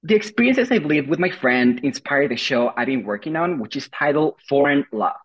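Young Mexican-accented man pitching, lo-fi sound. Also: lively intonation, normal speed, breathy edge. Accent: Mexican Spanish. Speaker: guest pitching a show. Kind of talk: rehearsed pitch. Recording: dull lo-fi audio.

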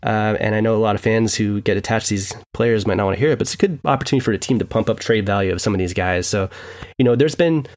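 Low-voiced man explaining his article. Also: a little mellow. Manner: measured, slightly rambling. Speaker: guest writer. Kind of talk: explaining his article in a casual podcast chat.

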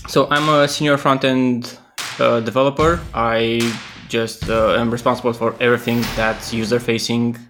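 A man from Romania, a software developer speaking modestly and with some hesitation. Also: mid-range voice, level tone, normal speed, a little hiss or hum. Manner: modest, hesitant, self-deprecating.

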